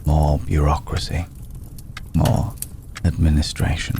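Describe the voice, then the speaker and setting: A man playing an ancient, aristocratic male vampire in an ASMR roleplay, formal, courteous and brooding, with a soft, gravelly voice and deliberate pauses. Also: a bass voice, level tone, slight background noise, full character voice. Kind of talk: ASMR roleplay, slow intimate acting.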